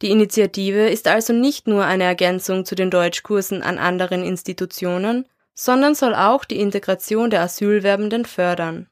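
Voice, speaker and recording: A woman narrating a scripted university radio report in a measured, even delivery. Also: mid-range voice.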